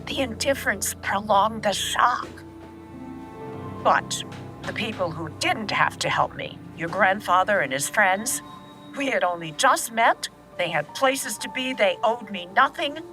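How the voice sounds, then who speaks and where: An actress plays an elderly grandmother in an audio drama, candid and steady as she recalls her past. Her delivery is unhurried and reflective, with expressive swings in pitch. Faint music sits behind the voice. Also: lightly acted. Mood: somber, reflective. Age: older. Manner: reflective, measured storytelling.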